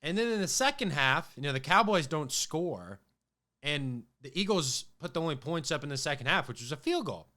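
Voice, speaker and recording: A man's voice: on the high side, lively intonation, normal speed, pushed and bright. A man hosting a casual sports podcast, talking loosely with many fillers and restarts, his voice a little off from a cold. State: has a cold, voice a bit off. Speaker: podcast host.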